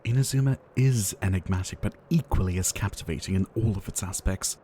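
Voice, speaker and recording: Low, gentle ailing doctor, acted by a man. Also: brisk, a little hiss or hum, natural voice. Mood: warm, welcoming. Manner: soft-spoken, theatrical, polite, with sighs. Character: gentle, ailing male pharmacist doctor, courteous and wistful. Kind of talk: ASMR roleplay acting.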